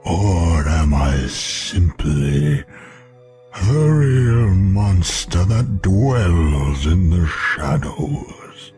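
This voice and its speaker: A sinister ancient male monster of the shadows, taunting and brooding. He speaks extremely slowly in a low, dark voice with dramatic swoops, over soft music. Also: heavily acted.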